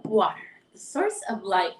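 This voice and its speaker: A woman with a hoarse voice chatting casually and affectionately as she hosts a livestream as a tarot reader, reading out viewer comments. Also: medium pitch, big pitch swings, a little slow, a little hiss or hum. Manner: effusive, affectionate greetings and endearments. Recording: echoey, roomy live stream.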